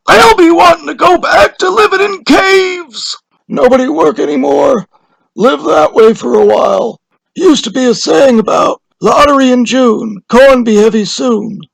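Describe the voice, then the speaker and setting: Shrill, creaky, cranky old villager. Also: male voice, fairly bright tone, dry recording, exaggerated voice, low-quality recording. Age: older. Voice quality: creaky, high old-man voice. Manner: petulant, grumbling, emphatic. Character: cranky, stubborn old village man, scornful of change.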